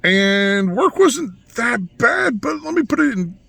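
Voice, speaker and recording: A man with a hoarse, raspy voice, talking through a casual vlog in a rambling monologue full of restarts and asides. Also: very high-pitched, sing-song, takes it slowly, fairly bright tone, no room sound. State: hoarse from yelling. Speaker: vlogger.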